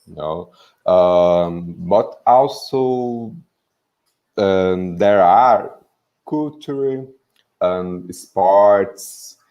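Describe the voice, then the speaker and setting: A man with a Brazilian accent speaking English haltingly on a video call, with many hesitant fillers. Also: low-pitched, lo-fi sound. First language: Portuguese. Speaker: guest, psychologist.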